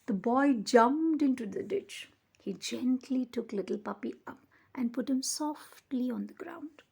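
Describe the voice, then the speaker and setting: A woman reading a children's picture book aloud as a storyteller, with expressive, deliberate emphasis. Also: on the high side, gravelly, breathy edge.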